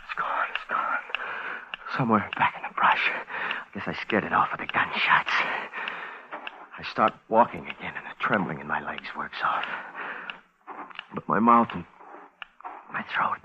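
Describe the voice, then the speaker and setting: A man's voice: low-pitched, lively intonation, in a whisper. Haunted stranded man, old-time radio. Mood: exhausted, shaken. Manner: halting, breathy, haunted, repeating himself. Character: stranded, frightened, exhausted man alone on a radioactive island. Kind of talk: 1950s radio drama acting, first-person suspense narration. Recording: dull vintage 1950s radio broadcast recording. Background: dramatic music.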